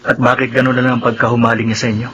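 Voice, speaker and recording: A man's voice: mid-range voice, little pitch movement, rapid-fire, a bit bright, natural voice. A man acting a polite, probing young stepson in a Filipino radio drama, respectful and questioning. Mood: polite, curious. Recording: dull lo-fi audio, faint noise.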